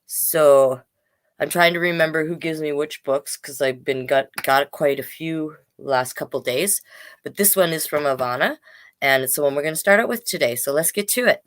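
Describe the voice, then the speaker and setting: A woman's voice: sits low, normal speed. A woman hosting a casual livestreamed storytime, reading aloud and chatting with informal, rambling asides. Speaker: drag king storytime host and reader. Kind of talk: casual livestream chat and picture-book reading.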